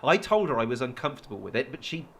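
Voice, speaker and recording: A man's voice: mid-range voice, big pitch swings, very fast. Casting: anxious, defensive man, hesitant and self-justifying, very varied intonation, faint background noise, audio drama. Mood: subdued confiding. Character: defensive, anxious male victim of a controlling girlfriend.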